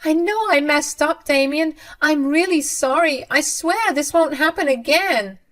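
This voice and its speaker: A frantic, begging mother-in-law in a storybook tale, her voice pushed up high and loud, as a woman narrator puts her on. She pleads and protests with rising urgency. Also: measured pace. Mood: pleading. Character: desperate pleading middle-aged mother-in-law. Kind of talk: storybook reading.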